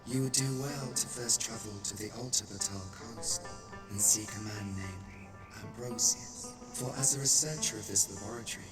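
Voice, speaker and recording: A man playing a solemn, knowing informant in a fantasy video game, with formal and deliberate speech. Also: on the low side, close to an everyday voice. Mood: solemn, instructive. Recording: distant voice under loud music.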